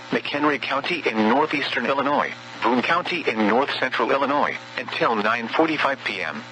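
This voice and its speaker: A synthetic man's voice reading scripted weather warning bulletins over radio in a measured, impersonal way. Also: mid-range voice, pushed and bright, a little hiss or hum, poor audio. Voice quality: synthetic text-to-speech voice. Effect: weather radio broadcast. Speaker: automated weather radio announcer.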